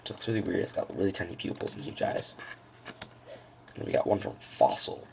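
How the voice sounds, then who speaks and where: Very low, gravelly man talking through cards. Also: very animated, normal speed, darkish tone, a little hiss or hum. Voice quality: froggy, gravelly. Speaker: trading card hobbyist presenting a deck. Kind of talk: casual unscripted card-by-card walkthrough.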